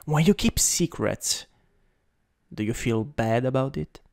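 A man with an Italian accent improvising ASMR as a teasing, domineering older stepbrother, in a halting, intimate, breathy voice that often drops to a whisper. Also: mid-range voice, expressive, a little mellow. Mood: hushed, coaxing. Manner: slow, intimate, halting delivery. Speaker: ASMR roleplay performer. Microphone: close USB microphone.

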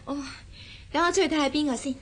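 An actress playing a sweet, trusting young newlywed wife in a radio drama, with a Hong Kong Cantonese accent. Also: female voice, sits high, fast, lightly acted. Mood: delighted.

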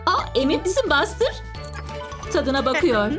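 Lady narrator for little kids cartoon, high voice, really expressive, asks lots of questions, music behind her. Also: moderate pace. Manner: expressive, exclamatory, talks to the characters and asks questions. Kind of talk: scripted storytelling narration for young children.

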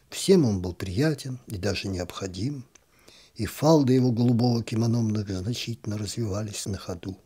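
Guy reading a story out loud, calm and expressive, warm kinda husky voice. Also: medium pitch. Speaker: audiobook narrator.

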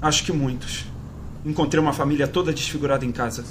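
An actor playing a rattled, talkative uncle at the wheel in a fan-dubbed video game, agitated and conversational. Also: male voice, a little high, steady tone, fast, noisy background, natural voice. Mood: uneasy, informative. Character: rattled, talkative uncle driving the getaway car. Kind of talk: fan-dub game acting in Portuguese.

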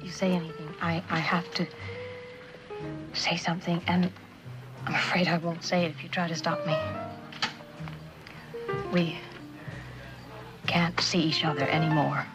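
Woman acting as anxious young lover, lowish voice, halting, pleading, 1960s TV drama. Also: level tone, over music, lightly acted, poor audio. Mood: anxious, hesitant.

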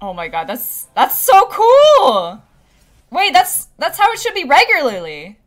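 High-pitched giggly woman streamer. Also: wildly swooping pitch, measured pace, soft background music. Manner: giggly, gushing. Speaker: gaming streamer. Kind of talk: excited gameplay commentary. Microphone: headset.

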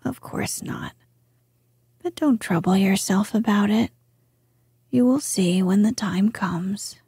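Woman whispering as a gentle old grandmother. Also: mid-range voice, slow, breathy edge, no room sound, exaggerated voice. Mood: calm, tender. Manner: soft whisper. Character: gentle wise old grandmother, queenly and calm. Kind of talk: whispered storybook reading.

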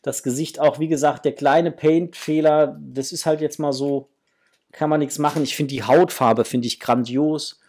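Husky-voiced man, enthusiastic unboxing host. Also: a little high, fairly even intonation, moderate pace, darkish tone. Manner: enthusiastic, effusive. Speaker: host of a toy collecting channel. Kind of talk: casual unboxing chat to viewers. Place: small room at home with framed posters, indoors.

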